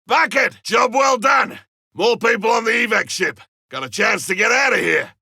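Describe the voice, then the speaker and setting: Gruff monster hunter, game callouts: husky, piercingly tense, dry studio sound, wry loner. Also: male voice, high-pitched, lively intonation, moderate pace, sharp tone, dry recording, raised voice, full character voice. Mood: pleased, brisk. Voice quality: gravelly, raspy. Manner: blunt, wry, barking. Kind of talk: video game combat callouts.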